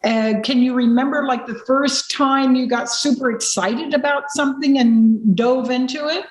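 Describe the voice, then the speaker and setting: A woman's voice: high-pitched, moderate pace, reverberant, rough recording. A woman hosting an interview, asking curious, encouraging questions. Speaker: summit host interviewer.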